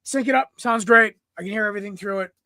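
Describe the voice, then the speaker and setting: A young man hosting a casual sports podcast from home, a little raspy from smoke, rambling and clearing his throat often. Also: high voice, quick pace, pushed and bright, dry recording. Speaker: podcast host. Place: room at home. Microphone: computer mic, no headset.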